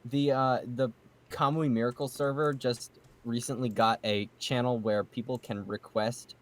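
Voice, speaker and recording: A man hosting a casual group podcast, talking in an excitable, jokey way with some mild swearing. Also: medium pitch, normal speed. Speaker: podcast host and amateur voice actor.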